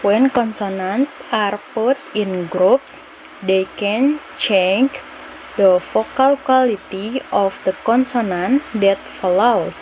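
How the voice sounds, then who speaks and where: A young woman reads out a lesson extremely slowly, breaking sentences into short halting pieces. There is faint noise behind her voice. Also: mid-range voice, highs cut off. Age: young adult. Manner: halting, broken phrasing. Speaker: student presenter. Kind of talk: scripted lesson narration, reading slides.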